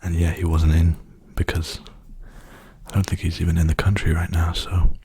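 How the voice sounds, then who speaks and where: A young man speaking softly in a hushed, breathy, soothing whisper, rambling casually for an ASMR video. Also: low-pitched, flat delivery, normal speed. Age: young adult.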